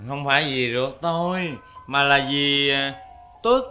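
An actor playing a weak-willed husband who coaxes his wife and then yells at her harshly. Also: medium pitch, unhurried, faint music behind. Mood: coaxing. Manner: coaxing, then harsh yelling.

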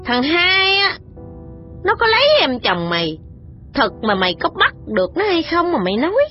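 A woman voicing a domineering, quick-tempered older woman who scolds and threatens. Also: high voice, big pitch swings, voice pushed up. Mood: furious. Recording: dull lo-fi audio with music.

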